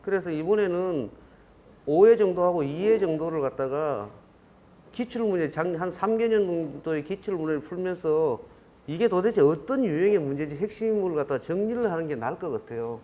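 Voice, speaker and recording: A man's voice: on the high side, expressive, brisk, mellow. A man in his fifties with a regional Korean dialect, giving a classroom lecture in a rambling, self-correcting way with many fillers. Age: 50s.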